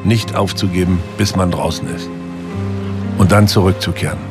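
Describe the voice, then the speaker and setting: A man's voice: sits low, fairly even intonation, moderate pace, lightly acted. An actor playing an earnest East German man who dreams of traveling, heard in film clips. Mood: wistful. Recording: film clip with music underneath.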